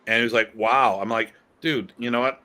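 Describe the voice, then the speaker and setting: A man co-hosting a podcast in casual conversation, talking in an animated, emphatic way with casual swearing. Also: mid-range voice, normal speed. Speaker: podcast co-host.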